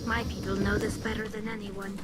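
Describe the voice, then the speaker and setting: A woman's voice: medium pitch, level tone, fairly quick, very noisy, natural voice. A woman voicing an earnest, precise young female alien engineer in a video game.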